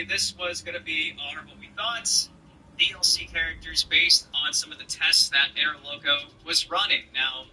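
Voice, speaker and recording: A man's voice: sits high, sharp tone, a little hiss or hum, low-quality recording. A man giving casual video game news commentary as a YouTuber, informal and slangy, speaking straight to his viewers.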